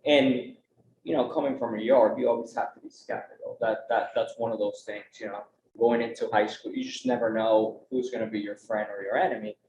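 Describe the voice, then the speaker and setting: A man's voice: fairly low pitch, fairly quick, a little mellow. Young adult man on a conference panel, earnest and conversational, recorded in a roomy space with slight hiss. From United States. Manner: earnest, conversational, frequent "right?" tag questions. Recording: roomy, dull, faint background noise.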